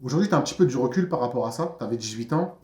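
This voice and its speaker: A man hosting a casual interview, quick and chatty with many fillers and restarts. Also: medium pitch, animated, a little mellow, reverberant. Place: outdoors in a neighbourhood. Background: friends chanting nearby.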